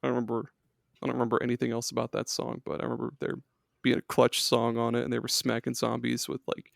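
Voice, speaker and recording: A man with a gravelly, fry-tinged voice rambles through gaming memories on a podcast, peppering his talk with fillers and curse words. He sits close to the mic in a dry, dead room with no echo. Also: mid-range voice, completely flat delivery, quick pace. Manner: rambling, swears a lot, many fillers. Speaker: podcast guest. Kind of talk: casual gaming chat.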